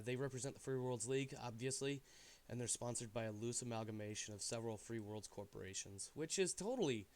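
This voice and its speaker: A man hosting a game stream in casual chat, sounding stuffy, animated and jokey, often trailing off into asides. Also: mid-range voice.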